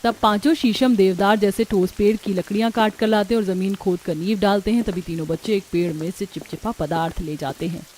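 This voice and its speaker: A woman's voice: mid-range voice, steady tone, a little fast, few pauses, a little mellow, slight background noise. A woman narrating a scripted cartoon story as a storyteller.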